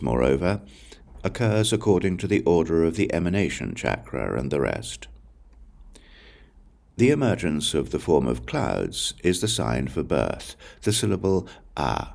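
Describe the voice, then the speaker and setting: A man reading scripture as an audiobook narrator, in a measured, solemn recitation with deliberate pauses. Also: a bass voice, creaky, darkish tone. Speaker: audiobook reader.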